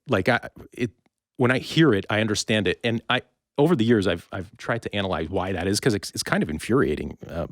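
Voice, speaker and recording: A man's voice: on the low side, no room sound, studio quality. A man hosting a podcast interview, talking casually in a reflective, candid and rambling way.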